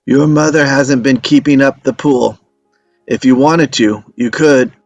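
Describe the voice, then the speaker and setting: A stubborn, ailing old storyteller played by a man, folksy and wry. His voice is somewhat low with a gravelly creak, dry and close, as he spins tall tales in a dramatic script read. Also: fairly even intonation, a little slow, a little mellow, dry recording, lo-fi sound, faint music behind. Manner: folksy, evasive, joking storyteller.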